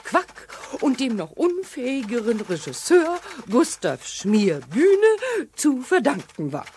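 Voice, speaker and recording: Brash, wildly animated reporter. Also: female voice, sits high, slow pace, a little hiss or hum, exaggerated voice. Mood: scathing.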